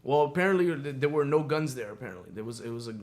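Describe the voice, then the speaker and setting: A man's voice: mid-range voice, expressive, racing. A man co-hosting a radio show, talking casually about politics in a profane, sarcastic, opinionated way. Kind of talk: casual political rant and chat.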